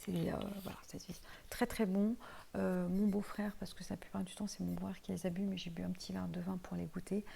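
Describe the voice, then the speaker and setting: A woman's voice: fairly low pitch, flat delivery, brisk, gravelly, warm tone, a little hiss or hum. A woman of Portuguese heritage, living in France, chatting casually to her viewers as she reviews finished products, with lots of hesitant fillers. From Portuguese heritage, lives in France. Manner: chatty, many fillers, addresses viewer informally. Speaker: vlogger reviewing used-up products. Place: home, tiled room.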